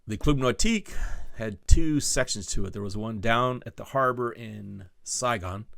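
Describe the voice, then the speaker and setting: A man's voice: mid-range voice, normal speed, creaky, a bit bright, no room sound, studio quality. A man in his sixties hosting his own podcast, telling casual stories in a rambling, digressive way with many restarts. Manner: rambling, digressive, self-correcting. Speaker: podcast host. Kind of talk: casual storytelling monologue.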